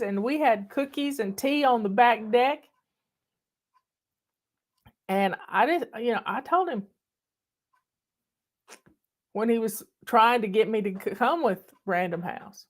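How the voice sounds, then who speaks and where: A woman's voice: fairly high pitch, expressive, slow, long pauses. Folksy older Southern woman telling stories. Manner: folksy, chatty, bossy. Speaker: online host. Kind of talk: casual storytelling chat. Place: home in the mountains.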